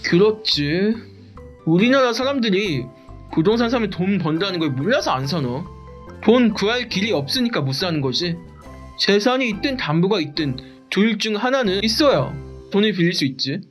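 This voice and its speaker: A woman acts out an excitable storybook sidekick with a hugely lively, swooping delivery. The figure is blunt and slangy, forever exclaiming and questioning in disbelief. Light music plays behind the voice. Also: medium pitch, moderate pace, lightly acted. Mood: incredulous. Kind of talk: animated explainer dialogue.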